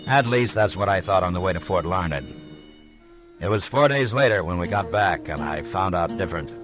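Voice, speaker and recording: An American actor playing a calm, firm, fair-minded frontier marshal in an old-time radio western, speaking in a laconic, dryly wry way. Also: male voice, deep voice, normal speed. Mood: reflective narration. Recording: old radio drama, music behind.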